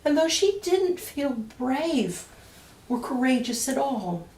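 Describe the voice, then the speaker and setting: Woman doing kids storytime, slow n expressive, kinda high voice, sounds roomy and off the mic. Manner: dramatic emphasis with deliberate pauses. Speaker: children's author reading a picture book. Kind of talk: expressive storytime read-aloud of rhyming verse. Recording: roomy echo, distant mic.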